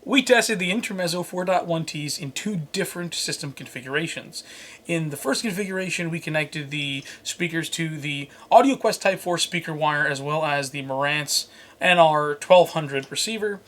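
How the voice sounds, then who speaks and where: A man with a fairly high-pitched voice hosts a product review. He moves between prepared script and off-the-cuff banter, in a conversational, jokey way. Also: sharp tone. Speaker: host and reviewer.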